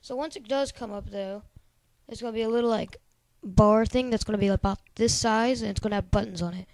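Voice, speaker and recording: A man with a high-pitched, squeaky voice, casually talking through a software tutorial in a rambling, chatty way full of filler words. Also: a little fast, no room sound. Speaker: tutorial host. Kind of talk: casual screen-recording tutorial.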